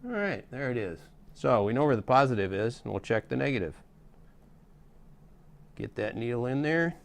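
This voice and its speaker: A man's voice: medium pitch, sing-song, moderate pace, vocal fry. A middle-aged man giving a casual, hands-on tutorial in a folksy, step-by-step way. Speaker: how-to host demonstrating a repair.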